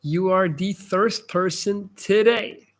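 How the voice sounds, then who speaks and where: A man's voice: sits high, takes it slowly. A Belgian man, a native Dutch speaker with an American-sounding accent, chatting casually as he hosts a livestream, informal and emphatic with slangy asides. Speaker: livestream host giving advice. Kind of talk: casual live chat, answering comments.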